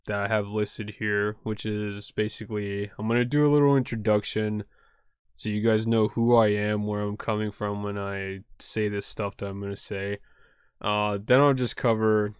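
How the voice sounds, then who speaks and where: A man's voice: lowish voice, clear, resonant tone, dry recording, clean studio sound. A young man talking casually to camera at home, rambling with lots of fillers and poking fun at himself. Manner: rambling, many fillers and "like", self-deprecating. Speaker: card game content creator.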